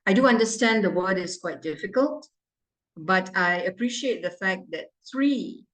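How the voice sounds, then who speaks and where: A woman's voice: on the low side, recorded from a distance, rough recording. A woman with a Malaysian accent, giving a stern, emphatic language evaluation at a club meeting. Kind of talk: club meeting report on a video call.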